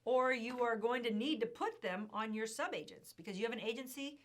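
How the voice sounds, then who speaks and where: A woman co-hosting an insurance news show, talking in a chatty, emphatic way with a slightly hoarse voice. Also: on the high side, a little fast. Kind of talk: casual chat.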